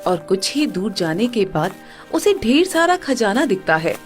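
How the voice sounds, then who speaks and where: A woman's voice: mid-range voice, big pitch swings, measured pace, faint music behind. A woman giving scripted narration for a moral story.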